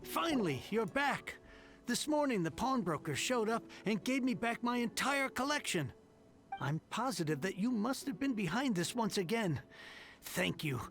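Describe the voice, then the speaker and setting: A gravelly, grateful old-house merchant. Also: male voice, high-pitched, sing-song, normal speed, a bit bright, soft background music, heavily acted. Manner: earnest and emotional, grumbling. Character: grateful, weary middle-aged merchant heir.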